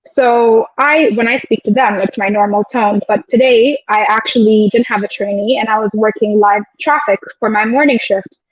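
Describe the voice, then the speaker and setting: Woman guest, high voice, dull dry sound. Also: steady tone, moderate pace, hardly any pauses, no echo. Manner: reflective, storytelling with quoted asides. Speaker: guest, air traffic control officer. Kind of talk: casual interview chat.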